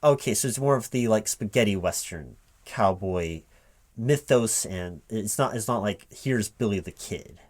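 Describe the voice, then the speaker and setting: A man playing a recruiting manager in a one-sided spoken interview roleplay, halting and puzzled as he repeats things back as questions. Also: lowish voice, animated, a little mellow.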